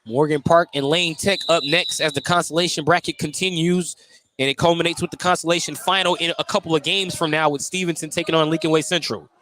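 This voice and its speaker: Higher-voiced American man calling basketball over noise. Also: fairly even intonation, quick pace, sharp tone. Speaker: play-by-play basketball announcer. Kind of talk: live sports radio play-by-play commentary. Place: indoor high school basketball gym. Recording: clearly noisy live gym broadcast.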